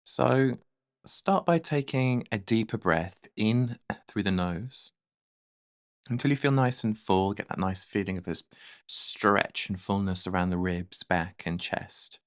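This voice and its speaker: A man leading a guided meditation in a gentle, soothing, unhurried manner. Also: on the low side, dry recording, studio quality.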